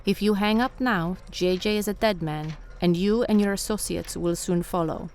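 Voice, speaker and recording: A woman's voice: lowish voice, moderate pace, a little mellow, slight background noise, close to an everyday voice. An actress playing a menacing, imperious woman crime boss in a radio drama, speaking in formal, haughty, clipped phrases. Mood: cold menace.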